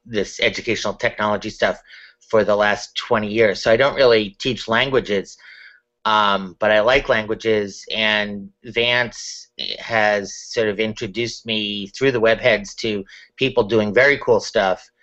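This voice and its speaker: A man who trains teachers in technology, introducing himself unhurriedly with many pauses. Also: on the low side, steady tone, fairly bright tone. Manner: unhurried, hesitant with many ums. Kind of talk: casual self-introduction. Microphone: video call. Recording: dull lo-fi call audio.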